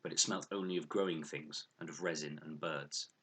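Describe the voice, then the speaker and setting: A man reading a children's adventure novel aloud as an expressive, scripted narration. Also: rumbling low, brisk, slightly rough. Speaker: audiobook reader.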